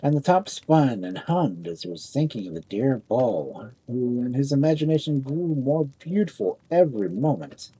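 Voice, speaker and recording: Storyteller reading a fairy tale, really dark mellow voice, bit husky. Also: medium pitch, very animated, moderate pace, few pauses, poor audio. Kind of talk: scripted fairy tale narration.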